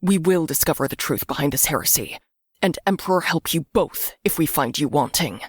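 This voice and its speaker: A woman's voice: sits low, lively intonation, brisk, husky, no echo, clean studio sound. A woman voicing a stern armored battle-sister with cold, commanding authority in a sci-fi story. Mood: cold threat.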